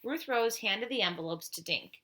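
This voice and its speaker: A woman who reads a children's book aloud like a teacher with a class. Her voice is bright and moves up and down a great deal. She is expressive and slips into chatty asides for her young listeners. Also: fairly high pitch, normal speed, slight background noise. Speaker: teacher reading aloud to pupils. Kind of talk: animated children's storybook read-aloud.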